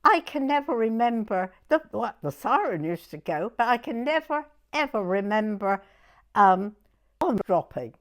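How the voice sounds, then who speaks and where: A woman in her nineties with a southern English accent, reminiscing in an interview in a halting way, pausing to recollect. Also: fairly high pitch, big pitch swings. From southern England. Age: 90s. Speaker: oral history interviewee.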